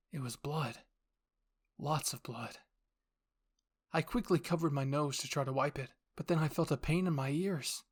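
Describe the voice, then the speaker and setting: A storyteller narrates first-person fan fiction in a mid-range voice. The delivery is dramatic and suspenseful, easing quieter for the tense moments. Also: mid-range voice. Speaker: narrator and voice actor of all characters.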